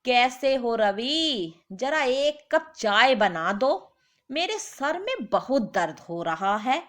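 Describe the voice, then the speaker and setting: Exasperated wife, as a woman narrator plays her, firm, sarcastic, animated intonation, high voice. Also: takes it slowly, full character voice. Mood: weary. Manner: questioning, scolding. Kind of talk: animated moral story character.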